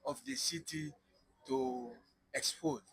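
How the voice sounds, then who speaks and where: A man interpreting between two speakers, halting and restarting his phrases. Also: a little high, big pitch swings, vocal fry, a bit bright, husky, no room sound. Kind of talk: interpreting between French and English.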